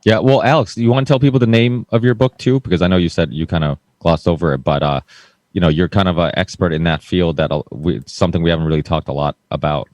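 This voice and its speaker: A man's voice: deep voice, steady tone, fast, a little mellow, no room sound. A man hosting a live panel talk show in a casual, informal and meandering way.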